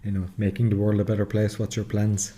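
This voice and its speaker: A man's voice: lowish voice, monotone, fast talker, mellow. A man hosting a podcast, asking casual interview questions in an encouraging, conversational way. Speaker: podcast host.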